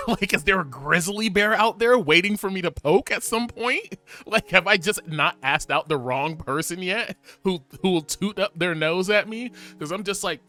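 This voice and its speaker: A man in his twenties chatting casually to camera, rambling and animated, with lots of fillers and laughs. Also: sits high, moderate pace. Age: 20s. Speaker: vlogger.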